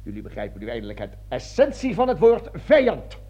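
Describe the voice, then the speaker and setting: An actor playing a pompous, fanatical army colonel with a declamatory manner in a satirical radio play. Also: male voice, on the high side, huge pitch swings, brisk, dark tone, faint music behind. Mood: bombastic, accusing. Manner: declamatory speeches, barking orders, stammering when flustered. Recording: dull lo-fi radio drama recording.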